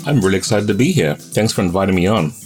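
Deep-voiced man, New Zealand-American accent. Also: animated, fast, faint music behind. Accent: New Zealand-American mix. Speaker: game designer guest. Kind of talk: casual podcast interview.

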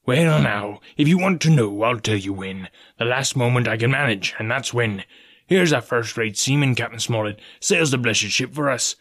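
A man acts out a cunning pirate sea cook from an adventure storybook. He is domineering and scheming, scolding his mates in rough, rustic sailor's speech. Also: medium pitch, moderate pace, fairly bright tone. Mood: exasperated scheming.

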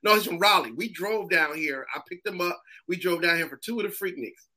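East Coast man, guest on a chat show, very bright voice, lively storyteller who mimics others. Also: sits high, fairly quick, poor audio. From Springfield, Massachusetts.

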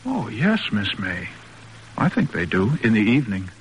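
A man's voice: fairly low pitch, wildly swooping pitch, normal speed. An actor playing a shy, earnest, respectful young man in a classic radio drama, hesitant and deferential. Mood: earnest, wistful. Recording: noisy old radio recording with hum.

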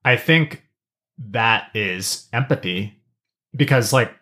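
A middle-aged podcast co-host chatting casually, with an informal, halting delivery and slangy asides. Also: mid-range voice, expressive, sharp tone. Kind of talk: casual chat.

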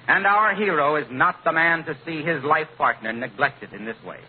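A man's voice: fairly high pitch, normal speed, husky. A man announcing in a polished, declamatory 1940s radio style, reading from a script. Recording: noisy, narrow-band old radio recording.

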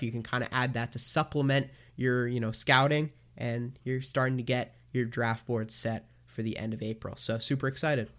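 A young man co-hosts a casual sports podcast in a medium-pitched voice. He talks eagerly and loosely, with plenty of uhs and you-knows. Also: fairly bright tone. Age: young adult. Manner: enthusiastic, filler-heavy. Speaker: podcast co-host.

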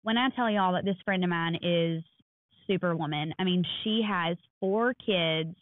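A woman in her thirties co-hosting a podcast, telling stories in a quick, animated way. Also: fairly low pitch. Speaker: podcast co-host. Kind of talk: casual chat. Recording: dry, phone-like lo-fi sound.

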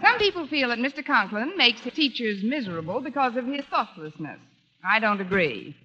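A woman's voice: a little high, very animated, fairly quick, bright, forceful tone. An actress playing a wry, wisecracking female English teacher in a 1940s radio sitcom, with dry, arch delivery. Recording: dull, noisy vintage radio recording.

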